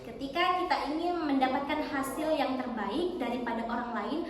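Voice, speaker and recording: A high-voiced young woman explaining things as a guest on a school podcast, recorded in a long, ringing hall. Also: normal speed. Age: teens or young adult. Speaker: podcast guest, student book co-author. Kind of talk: explanatory interview answers. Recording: very echoey, reverberant hall.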